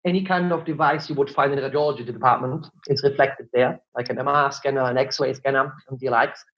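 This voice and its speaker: A man with a German accent answers interview questions, laying out his points step by step with many fillers. His voice sits at a middle pitch. The recording sounds a little dull and lo-fi. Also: fairly even intonation, fast. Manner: explanatory, many fillers and "look". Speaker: marketing director, guest.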